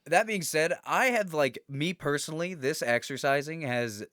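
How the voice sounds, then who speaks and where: A man's voice: fairly high pitch, normal speed, a bit bright, no room sound, clean studio sound. A man co-hosting a casual podcast, chatting loosely, swearing and laughing often. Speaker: podcast co-host. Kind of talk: casual chat.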